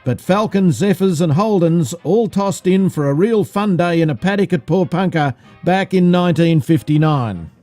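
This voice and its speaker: Newsreel narrator, male, Australian accent, fairly high mellow voice, scripted delivery over music. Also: moderate pace, few pauses. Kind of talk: scripted narration in old cinema newsreel format.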